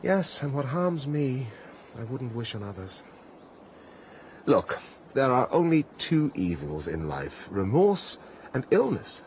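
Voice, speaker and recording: A man's voice: mid-range voice, sing-song, slow pace, darkish tone, slight background noise. A man with a British accent playing a disillusioned, cynical prince in a radio drama, well-spoken, weary and clipped in manner. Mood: bitter, sceptical. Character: disillusioned, cynical Russian prince, a brooding landowner.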